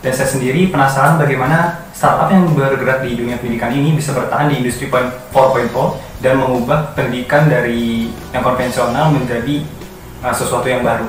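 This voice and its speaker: A man's voice: medium pitch, fairly even intonation, fairly quick, slight background noise. A man giving a formal, thoughtful testimonial as a former intern. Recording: very echoey room, mic far off.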